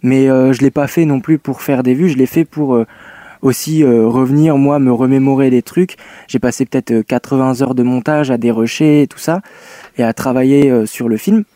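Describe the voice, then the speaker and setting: A young man in his twenties, talking casually in an interview and often pausing with fillers. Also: medium pitch, fairly even intonation, dark tone, no room sound. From France. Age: 20s. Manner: hesitant, many fillers and restarts. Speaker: guest, young filmmaker.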